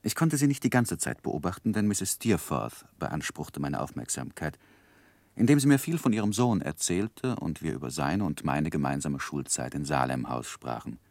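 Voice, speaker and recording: A reflective narrator in an old German radio play. His voice is deep, with wide, expressive intonation, recorded dry. He tells the story in measured, literary sentences. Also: gravelly, dry recording, exaggerated voice. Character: David Copperfield as reflective adult narrator.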